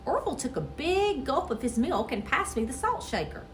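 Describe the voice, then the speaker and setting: A woman reading a children's story aloud in an expressive, theatrical storytelling manner. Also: sits high, normal speed, slight background noise. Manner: expressive, theatrical with character voices. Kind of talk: animated dramatic read-aloud.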